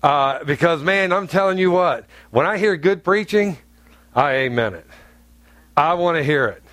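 A pastor from North Carolina preaches to his congregation in a blunt, joking, conversational way, full of asides. His voice is on the higher side for a man, and the sound is close and dry, with little room echo. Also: lively intonation, moderate pace, fairly bright tone. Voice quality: deep, carrying voice. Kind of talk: sermon. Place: church sanctuary.

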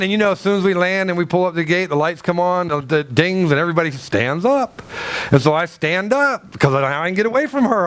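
A man preaching a sermon as pastor, emphatic and animated, with a loud voice that carries, acting out the people in his stories. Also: high-pitched. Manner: loud, carrying voice. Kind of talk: sermon with storytelling.

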